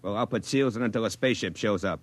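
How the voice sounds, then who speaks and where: A man's voice: lowish voice, racing, dry recording, close to an everyday voice. A man playing a bossy, suspicious deputy marshal, barking and sarcastic, in 1950s radio drama style. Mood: sarcastic, officious.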